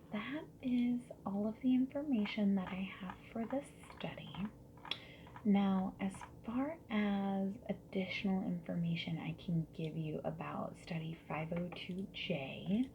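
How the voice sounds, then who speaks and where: A woman doing a soft-spoken ASMR roleplay as a survey interviewer, gently and politely asking questions in a breathy voice that often drops into whispers. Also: fairly low pitch. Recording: lo-fi muffled audio with noise. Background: mechanical keyboard typing.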